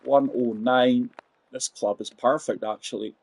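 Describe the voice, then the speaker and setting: A man's voice: medium pitch, steady tone, measured pace, darkish tone, breathy edge, a faint crowd. A man in his forties giving chatty live commentary on a golf game, talking straight to his viewers. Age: 40s. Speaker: game streamer.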